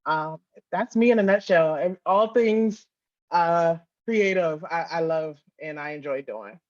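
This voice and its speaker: A woman's voice: fairly low pitch, unhurried. An American woman from Virginia answering interview questions conversationally as a podcast guest. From Virginia, United States. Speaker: podcast guest, audio drama creator. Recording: slightly dull, lo-fi call audio.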